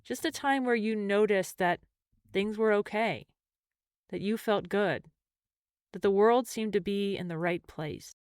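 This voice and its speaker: A woman leading a guided meditation as a mindfulness teacher, speaking gently and in measured phrases with pauses. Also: mid-range voice, clean tone, dry recording, studio quality.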